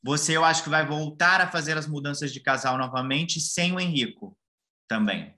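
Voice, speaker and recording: A man's voice: a little high, moderate pace, sharp tone. A Brazilian man in casual conversation as a co-host of a talk show.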